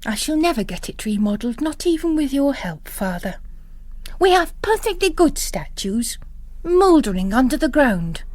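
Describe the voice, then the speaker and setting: A woman plays a young nun in an audio storybook, chatty, blunt and practical. Her voice sits high and swings freely as she talks, in a close, dry recording. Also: sing-song, moderate pace, darkish tone, no echo. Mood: despondent. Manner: chatty, blunt, matter-of-fact.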